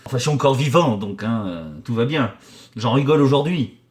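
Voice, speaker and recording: A man's voice: medium pitch, brisk. A man in his forties from the Paris region, a painter and decorator answering viewer questions in a casual, joking, crude and rambling way, with many fillers and restarts. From Paris region, France. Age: 40s. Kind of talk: casual vulgar chat.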